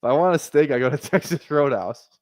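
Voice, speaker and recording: A man's voice: a little high, lively intonation, quick pace, no echo, studio quality. A man in his twenties chatting casually on a fantasy football livestream, rambling, swearing often and laughing at himself. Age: 20s. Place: small apartment room.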